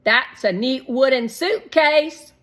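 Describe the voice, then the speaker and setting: A woman voicing an eager, excitable, boastful young boy in a children's storybook. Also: sits high, slow, voice pushed up, exaggerated voice. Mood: excited, eager. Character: Shake, eager loud young visiting boy.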